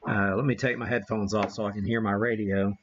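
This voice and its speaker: A man hosting a casual, unscripted live radio-hobby demo, thinking aloud in a halting way as he works the equipment. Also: fairly low pitch, level tone, highs cut off, slight background noise. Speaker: livestream host, amateur radio operator.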